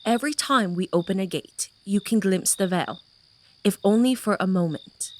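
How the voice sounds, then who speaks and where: A woman's voice: mid-range voice, moderate pace, natural voice. An actress playing an impatient, commanding noblewoman in a fantasy audio drama, speaking with clipped agitation. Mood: agitated. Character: agitated noblewoman plotting to reach another realm.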